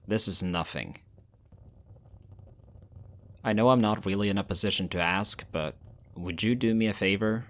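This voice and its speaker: A man acting as a caring, weary young pack leader in an audio roleplay, speaking in a measured, earnest and reassuring way. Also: deep voice.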